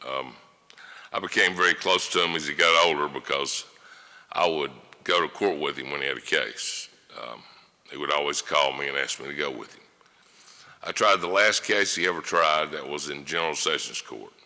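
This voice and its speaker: A man giving an informal eulogy, telling folksy anecdotes in a halting way to a gathering in a large hall. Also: very deep voice, pushed and bright. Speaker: criminal defense lawyer giving a eulogy. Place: large indoor hall before an audience. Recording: echoey hall with long reverb.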